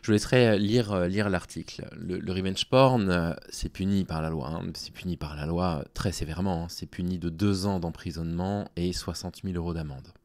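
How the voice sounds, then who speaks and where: A man speaking informally to his online audience in a halting, hesitant way, with a worn-out, small, tired voice. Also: sits low, fairly even intonation, a little mellow, no room sound. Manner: halting, hesitant delivery with long pauses. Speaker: online video creator. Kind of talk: informal talking to audience, explaining personal situation.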